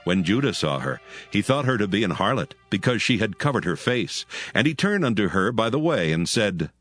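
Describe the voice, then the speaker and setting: Brief: low male narrator, expressive, dry close sound with faint music. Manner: measured, solemn archaic reading. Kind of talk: scripted scripture narration.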